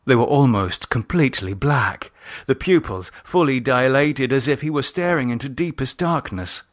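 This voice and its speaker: A man's voice: medium pitch, lively intonation, moderate pace, no room sound, studio quality. A man reading a horror novel as its author and narrator.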